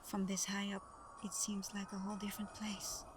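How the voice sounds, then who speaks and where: Soft, half-whispering young daughter of Santa, played by a woman. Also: medium pitch, monotone, moderate pace, close to an everyday voice. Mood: warm, reminiscing. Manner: soft, tender, hesitant, partly whispered. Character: Santa's gentle adopted adult daughter, shy, affectionate sleigh driver. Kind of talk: intimate ASMR roleplay, soft-spoken and breathy. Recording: clearly noisy background ambience.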